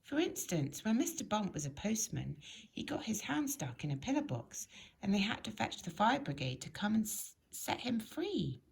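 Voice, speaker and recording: A woman reads a children's story aloud in an expressive, emphatic storytelling manner. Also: medium pitch, moderate pace, vocal fry, slightly rough, rough recording. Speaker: storybook reader.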